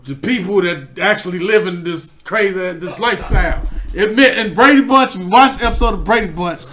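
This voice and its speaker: A man's voice: high-pitched, a little fast, bright, forceful tone, a little hiss or hum. A young adult man co-hosting a podcast, joking and bantering in casual group chat and laughing often. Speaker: podcast co-host.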